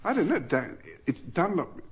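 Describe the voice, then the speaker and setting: Man, British, halting delivery, mid-pitched, lively inflection, panel banter, dull old recording. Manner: hesitant, groping for answers. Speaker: quiz show panellist. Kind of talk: casual panel-show banter.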